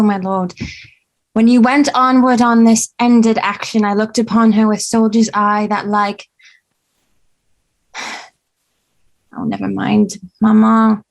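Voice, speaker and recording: A woman's voice: on the high side, creaky. A woman playing a wistful, prim, romantic young daughter at a screenplay table read, with dramatic, girlish delivery.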